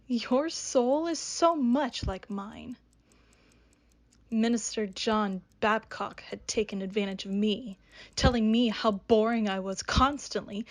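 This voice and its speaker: A woman playing a vengeful ghost of a wronged woman, speaking in hushed, solemn tones in a radio drama. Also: a little high, natural voice.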